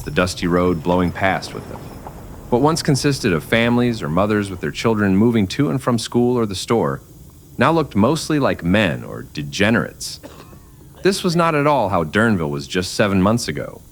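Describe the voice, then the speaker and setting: A man's voice: deep voice, very animated, normal speed, a little hiss or hum. A man narrating a scripted Western story in the manner of old-time radio drama.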